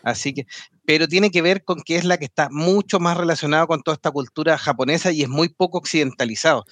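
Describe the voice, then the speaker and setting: A man chatting on an anime podcast, his voice sitting a bit high for a man. He rambles, laughs readily and restarts his sentences, recorded close with no room sound. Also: fast talker, a bit bright, no echo. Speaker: podcast co-host. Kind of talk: casual anime talk with co-hosts.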